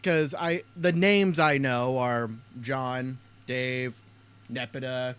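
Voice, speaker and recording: A man's voice: mid-range voice, fairly even intonation, slow pace, fairly bright tone, clear, resonant tone. A man hosting a casual reaction video, talking bluntly and swearing a lot. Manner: blunt, heavily profane.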